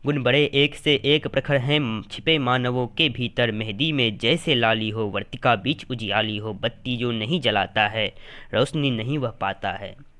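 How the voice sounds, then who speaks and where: A man recites epic poetry in a medium-pitched voice. His delivery is declamatory and dramatic. He moves through the verse in short, measured phrases with a firm sense of rhythm. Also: sharp tone.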